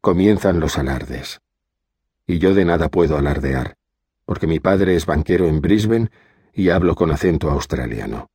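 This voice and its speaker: A man reading a literary audiobook in a measured, steady way. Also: rumbling low, breathy edge, no room sound, studio quality. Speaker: audiobook reader.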